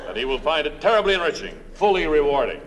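A man playing a smug, brazenly corrupt small-town mayor in a deadpan radio interview sketch, genial and matter-of-fact about his crooked deeds. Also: on the high side, fast. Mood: shamelessly pleased. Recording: noisy old radio comedy recording.

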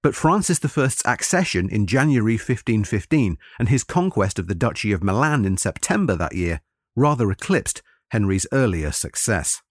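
A man reading scripted history narration in a measured, formal manner, as for an audiobook. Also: fairly low pitch, very animated, no echo, studio quality. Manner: measured, formal read-aloud delivery.

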